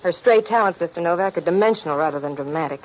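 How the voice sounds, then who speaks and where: A woman's voice: on the low side, fast talker, close to an everyday voice. An actress playing a cool, guarded, mysterious young woman in an old-time radio detective drama. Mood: cool, arch. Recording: noisy muffled old radio recording.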